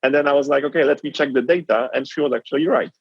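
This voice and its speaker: A man's voice: mid-range voice, steady tone, rapid-fire, husky, dry recording, dull audio. A man from Poland with a Polish accent, telling his story as an interview guest in an earnest, reflective way. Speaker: guest entrepreneur.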